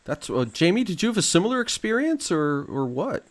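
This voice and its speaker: A man hosts a casual interview and puts questions to his guests in a rambling, playful way. His pitch moves up and down with lively swings. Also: a little fast, a little hiss or hum.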